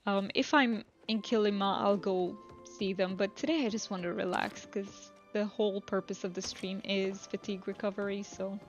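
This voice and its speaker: A woman live streamer chatting casually while playing a video game, relaxed and rambling as she thinks aloud. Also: mid-range voice, moderate pace, few pauses, highs cut off. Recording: music playing behind the voice. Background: music.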